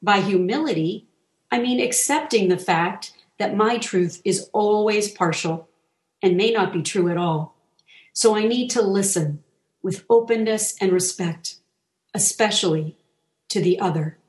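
A woman reads a reflective passage aloud, slowly and deliberately, taking her time over each phrase. Her voice carries in an echoey room. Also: medium pitch. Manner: slow, deliberate reading. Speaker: reader.

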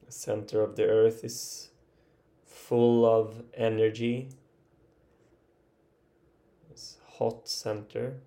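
A man leading an improvised guided body relaxation as a meditation teacher, speaking gently and haltingly with long pauses. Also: lowish voice, level tone.

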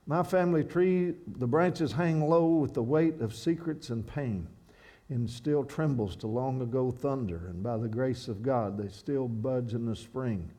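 Kentucky man, mellow preaching voice. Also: mid-range voice. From Kentucky, USA. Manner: halting restarts, then rhythmic poetic reading. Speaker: guest speaker. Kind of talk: sermon with a read-out poem. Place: church.

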